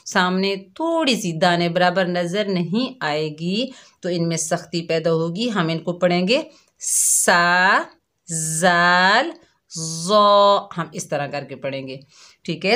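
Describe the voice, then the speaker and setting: Slow, patient woman teaching pronunciation. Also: fairly low pitch, a bit bright. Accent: Pakistani Urdu. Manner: patient and repetitive, drawing out demonstration sounds. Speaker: Quran recitation teacher. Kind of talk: slow classroom instruction to children.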